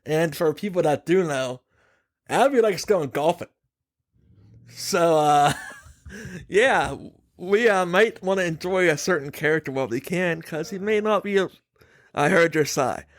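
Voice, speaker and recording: A man with a fairly high voice talks as a podcast host, recorded very dry with almost no room around him. He chats casually but makes his points emphatically, full of opinion and the odd swear word. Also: expressive, measured pace, no echo.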